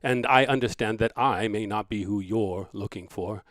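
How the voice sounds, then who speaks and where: A man playing an earnest, grieving divinity scholar in an audio drama. His low voice is formal, measured and solemn, recorded close and dry, as he recites a letter. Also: fairly bright tone, dry recording, natural voice. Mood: solemn, hopeful.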